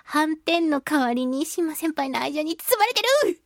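Female radio host, higher voice, expressive and chatty, casual talk-show delivery, very dry studio-style sound. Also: normal speed, vocal fry, dry recording. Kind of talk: casual chat, reading and answering listener mail.

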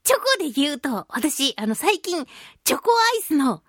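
A woman hosting a chatty radio show on her own, talking in a playful, expressive, giggly way as she chats and reads listener mail. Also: sits high, normal speed, no echo, clean studio sound. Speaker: radio show host, voice actress.

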